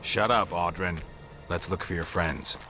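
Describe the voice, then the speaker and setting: A stern monster hunter for hire in a video game. His low voice has a hard, forceful edge and stays dry and clipped, over faint background noise. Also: unhurried. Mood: stern, impatient. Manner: terse, deadpan.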